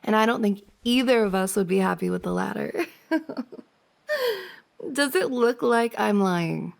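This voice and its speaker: A woman's voice: mid-range voice, animated, measured pace, creaky. A woman playing a playful, teasing young woman, a best friend's older sister, in a giggly, casual ASMR roleplay. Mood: mock exasperated. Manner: giggly, teasing banter with frequent soft laughs.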